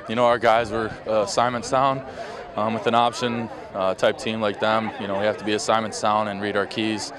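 A young man, a college football player, answering postgame questions outdoors in a measured way with filler ums. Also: on the low side, flat delivery. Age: young adult. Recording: crowd chatter outdoors.

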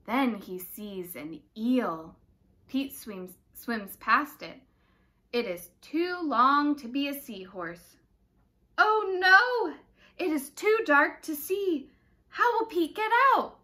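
A young woman reading a picture book aloud to small children in an expressive, dramatic way. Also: sits high, slow. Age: young adult. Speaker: children's story time reader. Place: living room indoors, by a brick fireplace.

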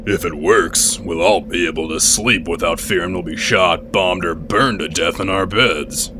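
A man voicing a gruff, blunt, aging war veteran soldier in a fan-dubbed comic. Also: low-pitched, unhurried, noisy background. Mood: solemn. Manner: blunt, drill-sergeant barking. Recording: music behind the voice.

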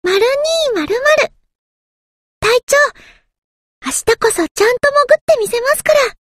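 A woman's voice: extremely high pitch, lively intonation, a little slow, no echo, studio quality. A woman voicing a small, timid, earnest anime game submarine girl, with a thin childlike voice and a hesitant, polite manner. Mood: dutiful, polite. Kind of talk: anime game character voice lines.

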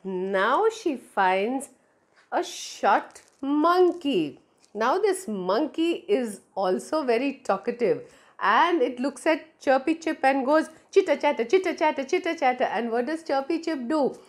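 A woman's voice: sits high, expressive, slow. A middle-aged woman with an Indian accent telling stories to young children, speaking expressively and directly to them on a lapel mic. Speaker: children's storyteller. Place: small indoor room. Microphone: clip-on lapel mic.

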